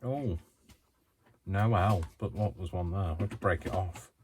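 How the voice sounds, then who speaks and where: A British man from the UK chatting through a crafting tutorial at home, rambling, self-deprecating and quick to giggle. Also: sits low, background noise. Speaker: craft vlogger.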